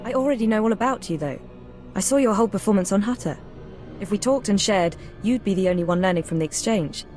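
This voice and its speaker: Woman as cool spy handler lady in a game, crisp and guarded, medium pitch, faint music. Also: lively intonation, fast, a little mellow, lightly acted. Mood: composed, guarded. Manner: crisp, businesslike. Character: female intelligence handler, composed, guarded, aloof. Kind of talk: scripted video game dialogue.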